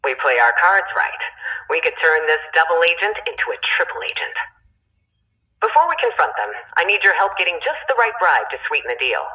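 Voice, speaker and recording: A woman playing a confident, commanding resistance leader, giving deliberate mission briefings in a video game. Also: deep voice, big pitch swings, highs cut off. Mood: confident, persuasive.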